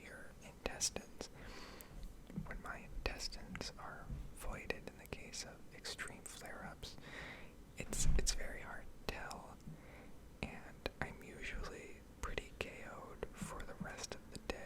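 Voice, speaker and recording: Whispering woman in a soft ASMR ramble. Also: takes it slowly, poor audio. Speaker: ASMR video creator.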